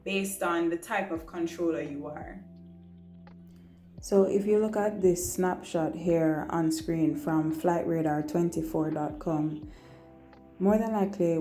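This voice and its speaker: Female vlog host, lowish voice, conversational explainer delivery, music underneath. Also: warm tone. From Jamaica. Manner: conversational, checks in with "right?". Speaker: vlog host, air traffic controller and pilot. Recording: roomy echo, music behind. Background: background music.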